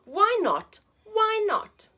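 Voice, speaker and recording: A woman's voice: very high voice, huge pitch swings, extremely slow, sharp tone, voice pushed up, heavily acted. A woman voicing a curious little storybook monster girl, eager and wide-eyed in her questioning. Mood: curious, pleading.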